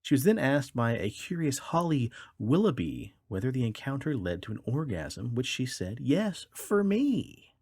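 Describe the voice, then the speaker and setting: A man's voice: medium pitch, very animated, moderate pace, few pauses, a little mellow, slightly rough, no room sound, clean studio sound. A man hosting a podcast, reading odd news stories aloud in a wry, tongue-in-cheek way. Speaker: podcast host.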